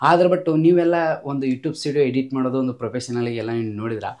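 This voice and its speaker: A man's voice: mid-range voice, rapid-fire, a little mellow. A man hosting an informal step-by-step tech tutorial, explaining emphatically and repetitively with English tech words mixed in. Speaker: YouTube tech tutorial host.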